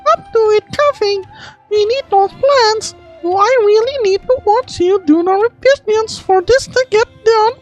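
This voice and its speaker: A man's voice: extremely high pitch, normal speed, highs cut off, soft background music, pitched above the performer's own voice. A man voicing a stern, haughty senior woman architect in an imperious, critical manner for a game scene. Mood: bossy.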